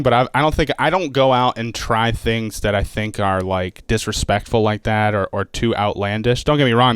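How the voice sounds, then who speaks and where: A young male comedian talks shop as a podcast guest. He rambles quickly, leaning on "like" and restarting his sentences. His voice is smooth and clear in a dry, close sound. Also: lowish voice, no room sound. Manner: fast, rambling, heavy use of "like". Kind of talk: casual podcast chat.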